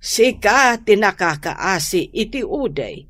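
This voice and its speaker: Radio drama mother, middle-aged Filipino: stern, admonishing, measured and unhurried delivery. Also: medium pitch, expressive, fairly bright tone. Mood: reproachful. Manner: admonishing, deliberate. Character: stern middle-aged mother scolding her grown daughter. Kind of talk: Ilocano radio drama acting.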